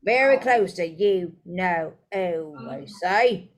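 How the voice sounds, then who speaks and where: Woman putting on an excitable gossipy young bus conductor, loud and dramatic, slow drawn-out delivery, storybook reading. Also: lowish voice.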